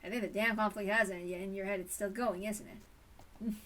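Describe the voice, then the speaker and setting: A woman's voice: mid-range voice, fairly even intonation, normal speed, fairly bright tone, far from the mic, close to an everyday voice, low-quality recording. A woman voicing a folksy, stubborn older male detective in a story read-aloud. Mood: puzzled, probing.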